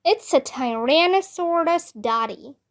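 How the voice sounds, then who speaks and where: A woman's voice: high voice, expressive, measured pace. A woman voicing a feisty, chatty, literal-minded little girl who over-explains in a storybook. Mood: matter-of-fact. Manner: indignant, over-explaining, childish.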